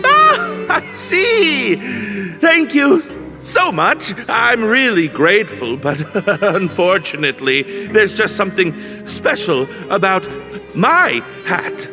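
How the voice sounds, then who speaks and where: Flustered young man, high, bright and slow, game music behind. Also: big pitch swings, full character voice. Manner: nervous, stammering. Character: flustered young man hunting for his hat.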